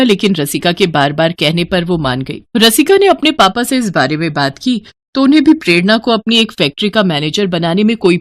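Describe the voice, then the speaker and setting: A woman's voice: medium pitch, dry recording. A woman narrating a scripted moral story as a storyteller.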